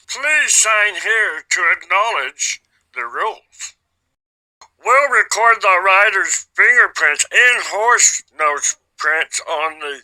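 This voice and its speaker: A man voicing an officious race registration clerk in an anime fan dub, formally and deliberately reciting rules. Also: high voice, sharp tone, full character voice, rough recording.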